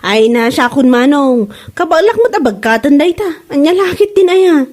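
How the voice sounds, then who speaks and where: High-voiced, exclamatory young woman shopkeeper, played by an actress. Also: moderate pace. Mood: friendly. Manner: exclamatory, expressive. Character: friendly young woman selling homemade chili oil. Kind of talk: comedy audio drama acting in Ilocano.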